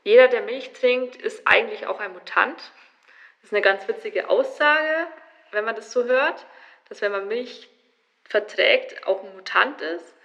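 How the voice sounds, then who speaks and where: A woman's voice: on the high side, unhurried, fairly bright tone. Dull-sounding recording of a woman explaining. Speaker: expert being interviewed. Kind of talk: explanatory interview talk.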